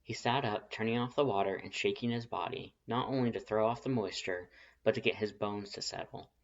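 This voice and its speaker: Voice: mid-range voice, steady tone, brisk, vocal fry. A fan fiction narrator reads scripted prose in a storytelling style. The home recording is a little dull and lo-fi.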